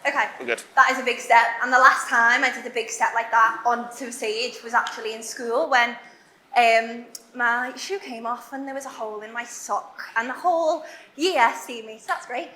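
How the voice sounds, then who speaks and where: Young Liverpool woman, high bright voice, chatty conference speaker, echoey hall. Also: fairly even intonation, slight background noise. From Liverpool, England. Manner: chatty, self-deprecating, fast with many fillers. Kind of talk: conference talk. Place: large warehouse event hall.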